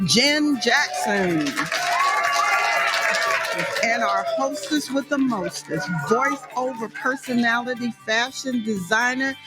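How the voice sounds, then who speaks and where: A woman announcer delivers a scripted show introduction at a slow, measured pace. Her voice sits fairly high, with loud music playing underneath. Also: rough recording.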